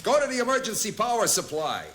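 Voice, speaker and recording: Shrill, spluttering panicky commander. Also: male voice, sing-song, fast talker, a bit bright. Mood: flustered, alarmed. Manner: spluttering, repeating words in alarm. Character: flustered, panicky male commander of foot soldiers. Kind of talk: comic radio drama acting.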